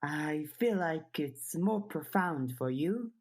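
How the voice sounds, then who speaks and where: A woman's voice: deep voice, expressive, slow pace, a little mellow, slightly rough, full character voice. A woman voicing an eager, earnest girl in an audiobook, chatty and questioning, a little tentative. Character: eager, earnest girl who loves puzzles, overthinking. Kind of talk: audiobook character dialogue.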